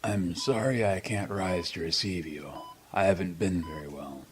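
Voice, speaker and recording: A man playing an ailing, proud old politician in a radio drama, speaking in formal, pompous, measured phrases. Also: deep voice, music in the background, lightly acted. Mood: stiff, aggrieved.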